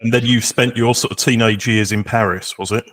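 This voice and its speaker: A man in his forties from England, hosting an interview, asking relaxed, gently teasing questions. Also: fairly low pitch, brisk, no room sound. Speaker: radio host interviewer.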